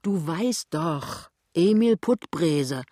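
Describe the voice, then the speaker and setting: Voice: mid-range voice, lively intonation, extremely slow, warm tone, dry recording, studio quality. Vorleser als strenge, resolute Ladenfrau. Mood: prodding. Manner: curt, commanding, colloquial. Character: stern, gaunt, bossy woman soap-shop keeper, practical and helpful.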